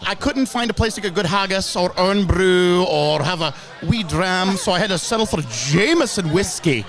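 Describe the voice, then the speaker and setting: A man's voice: high-pitched, expressive, normal speed, fairly bright tone. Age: adult. Sex: man. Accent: put-on Scottish. Figure: a boisterous Glasgow football fan, rambling and jokey. Acting: 5. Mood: boastful. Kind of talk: casual banter while watching a game.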